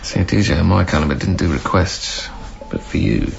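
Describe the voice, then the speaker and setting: A man's voice: low voice, fairly quick. An actor playing a devoted, hopeful young father and former club DJ in a naturalistic radio drama, with a tender, playful manner. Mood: tender, wistful. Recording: dull lo-fi recording, clear background noise.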